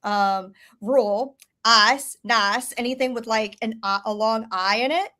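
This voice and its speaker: A woman's voice: fairly high pitch, unhurried, bright, forceful tone. A chatty woman from Southeast Alabama with a partly masked Southern accent, a guest on a video-call podcast, laughing often and filling with ums and likes. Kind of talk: casual chat.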